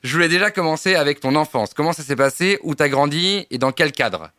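A young man hosting a relaxed interview in a restaurant wine cellar, chatty and easygoing. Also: a little high, a little fast, no room sound, clean studio sound. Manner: relaxed, chatty, friendly fillers. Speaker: host. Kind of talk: casual interview over drinks.

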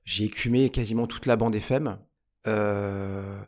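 A man's voice: lowish voice, fairly even intonation, unhurried, a little mellow. A man in his forties from the Paris area, a podcast guest talking casually in an office, full of hesitations and colloquial slang. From Paris area, France. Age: 40s. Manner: hesitant, many "euh" fillers and repeated words, colloquial slang. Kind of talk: casual conversational interview.

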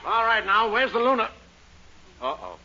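A sceptical, bossy policeman in an old radio comedy. He is curt, with a fairly high, lively voice. The recording is muffled and full of noise. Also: moderate pace. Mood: brusque. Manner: curt, no-nonsense. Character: brusque, sceptical male policeman, unimpressed and bossy. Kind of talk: 1940s radio comedy acting.

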